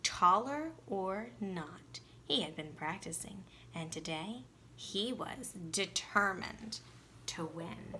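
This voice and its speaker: A woman teacher reading a storybook aloud expressively, with deliberate emphasis and asides to children. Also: medium pitch, expressive, bright, forceful tone, slight background noise.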